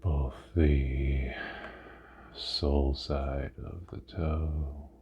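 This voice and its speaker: Very deep, slow, gravelly man guiding meditation. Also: flat delivery. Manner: hushed, drawn-out phrases with long pauses. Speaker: meditation guide. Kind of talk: guided body scan meditation, ASMR. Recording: muffled phone-like sound with audible noise.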